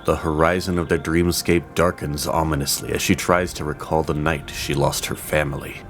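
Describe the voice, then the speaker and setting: A man narrating an audio drama as its storyteller in a measured, descriptive way. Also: rumbling low, level tone. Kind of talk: scripted narration. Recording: music underneath.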